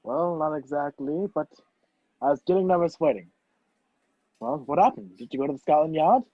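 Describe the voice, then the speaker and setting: A man acting a husky, urgent fugitive. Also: on the high side, expressive, moderate pace, dry recording. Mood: anxious. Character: wrongly accused man on the run, urgent and impatient. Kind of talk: radio play acting. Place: video call, dry room. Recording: muffled video-call audio.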